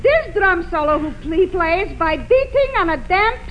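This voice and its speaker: A woman's voice: very high voice, lively intonation, measured pace, highs cut off. An actress playing an opinionated, quirky married woman with a Yiddish accent and emphatic, quaintly formal phrasing in 1940s radio comedy. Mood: dry, emphatic. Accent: Yiddish-inflected English. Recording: faintly noisy vintage radio recording.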